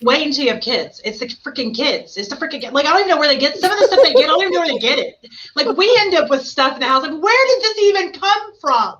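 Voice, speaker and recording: A woman's voice: high voice, animated, fast talker, dull audio, a crowd in the background. A woman with a mild Southern accent hosting a casual chat show, giggly and rambling. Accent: mild Southern US, mixed with Ohio. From Tennessee. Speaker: podcast host.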